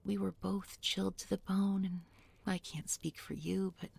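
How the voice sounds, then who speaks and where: A woman playing a tender, bookish young village girl in an intimate audio roleplay, speaking softly and gently, often in a whisper. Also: mid-range voice, steady tone. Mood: tender, soothing. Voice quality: soft, breathy, often whispering. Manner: slow, gentle, murmured with lingering pauses.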